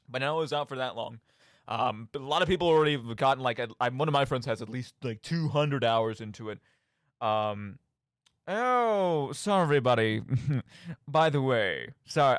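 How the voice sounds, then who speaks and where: A man's voice: mid-range voice, dry recording, clean studio sound. A young man hosting a casual, rambling radio show in a playful, mock-dramatic, tongue-in-cheek manner. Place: radio studio. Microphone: studio microphone.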